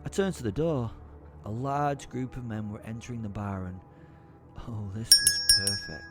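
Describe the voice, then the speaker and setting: Male actor as gleeful, short-tempered werewolf narrator for horror audio drama; lower-pitched, theatrical, music behind. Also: normal speed, close to an everyday voice. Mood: angry, exultant.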